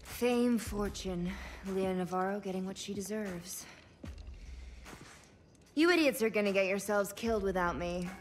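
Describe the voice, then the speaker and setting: Actress as tough young woman, cool, blunt, confident, mid-range voice, loud backing music, game cutscene. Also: mid-range voice, unhurried, lightly acted. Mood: sardonic. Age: young adult. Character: cool, tough young female getaway driver. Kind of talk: video game cutscene acting.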